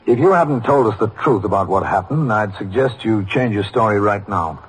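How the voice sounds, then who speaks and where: A stern police captain in a vintage radio crime drama. His voice is fairly low and husky, and he speaks with firm, deliberate authority. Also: rough recording. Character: stern, measured male police precinct captain. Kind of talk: old-time radio drama acting and narration.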